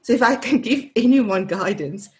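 A woman's voice: fairly high pitch, expressive, moderate pace, a little mellow. A woman in her fifties from South Africa with a South African accent, Afrikaans as her first language, a therapist guest earnestly recounting her career in an interview. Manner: earnest, repeats words while searching for them. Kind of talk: reflective interview answers.

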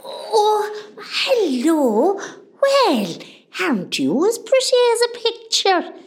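A woman's voice: extremely high pitch, huge pitch swings, slow pace, exaggerated voice. An actress with a West Cork Irish accent playing a chatty, nosy, melodramatic middle-aged mother on a hospital ward in a comedy radio play. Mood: chatty, dramatic. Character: chatty, dramatic Irish mother, patient groggy after surgery.

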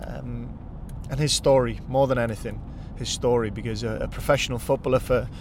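A man's voice: medium pitch, fairly even intonation, brisk, background noise. A man with a Welsh accent whose first language is Welsh, hosting a podcast and chatting casually while driving. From Wales. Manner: chatty and upbeat. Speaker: podcast host. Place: in a car on the road.

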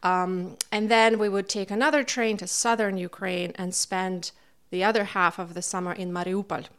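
A woman's voice: medium pitch, fairly even intonation, normal speed, clean tone. A middle-aged woman from Kazakhstan recalling her family's past in an interview, speaking reflectively with occasional fillers. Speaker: interviewee, NGO founder. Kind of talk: personal recollection in an interview.

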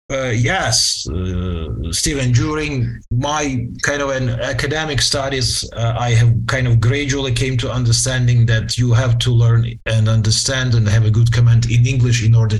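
A man in his late forties with a Ukrainian accent giving reflective interview answers, halting and searching for words in formal, wordy phrases. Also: mid-range voice, low-quality recording. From western Ukraine, Transcarpathia. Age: late 40s. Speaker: interview guest, lawyer.

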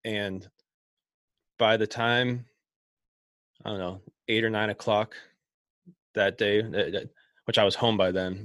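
A man's voice: lowish voice, fairly bright tone, no echo, clean studio sound. A man from Ohio who runs a small business, talking casually and rambling with lots of restarts and fillers. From Ohio, USA. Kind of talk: casual conversation.

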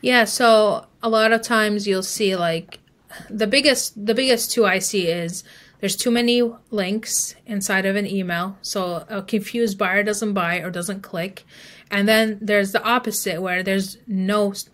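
A woman's voice: mid-range voice, fairly bright tone. A woman speaking as an expert guest in a podcast interview, explaining advice conversationally. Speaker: email marketing expert guest.

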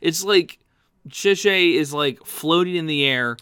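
A man in a casual, animated film discussion, amused and conversational, close on the microphone. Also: on the high side, slow pace, smooth, no echo. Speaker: film podcast host.

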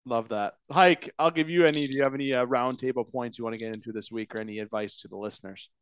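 A man's voice: mid-range voice, fast, a little hiss or hum. A man hosting a casual sports podcast, laid-back as he leads the round table. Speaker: podcast host.

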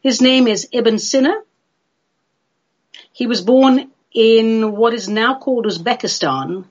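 A woman's voice: on the high side, slow pace, dull audio. A woman from London with a London English accent hosting a chatty solo radio show in a playful, theatrical, droll manner. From London, England.

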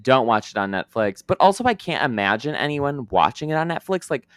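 A man co-hosting a podcast, talking through a film review in a loose, opinionated way with plenty of "um" and "like." His voice is smooth and clear. The sound is close and dry, with no room around it. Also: medium pitch, big pitch swings, fairly quick, a bit bright, no echo. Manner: chatty, opinionated, many fillers and restarts. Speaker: podcast co-host. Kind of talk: casual chat reviewing a movie.

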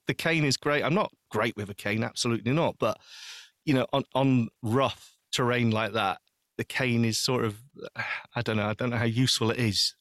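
A man chatting casually as a podcast host, agreeable and halting as he rephrases his thoughts. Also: mid-range voice, a bit bright, no echo, clean studio sound. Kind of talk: casual chat.

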